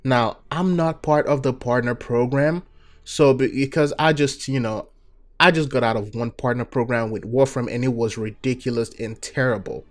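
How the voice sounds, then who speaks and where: A man's voice: mid-range voice, normal speed. Young nigerian guy doing a casual q&a, laid back, lots of "you know"s. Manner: relaxed, chatty, many fillers and "you know"s. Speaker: gaming channel host. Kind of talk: casual Q&A talk to viewers.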